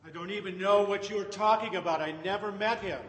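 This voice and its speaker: A woman's voice: medium pitch, fairly quick, close to an everyday voice. A woman voicing a frightened grown man who keeps denying irritably that he knows his friend. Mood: defensive. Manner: defensive, irritated denials. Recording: roomy, long reverb.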